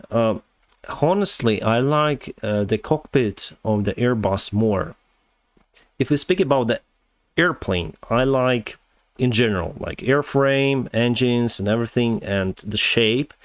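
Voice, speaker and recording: Low-voiced Ukrainian man chatting live. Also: dry recording. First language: Russian. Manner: halting, many fillers, laughs often. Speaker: livestream host reading chat. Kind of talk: casual live chat.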